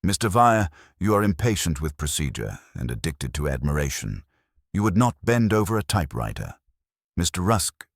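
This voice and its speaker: A man's voice: low voice, no room sound, studio quality, full character voice. A man voicing an imperious, exacting detective who speaks formally and mildly, with precise, cutting delivery in an audiobook. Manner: formal, mild-spoken, precise.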